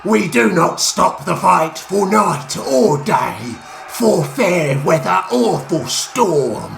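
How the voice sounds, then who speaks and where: A man voicing a band of brutish male orc warriors who shout and mock. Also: sits high, very animated, slow pace, vocal fry, echoey room, soft background music, pitched above the performer's own voice, exaggerated voice. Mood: taunting. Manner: loud mocking shouts.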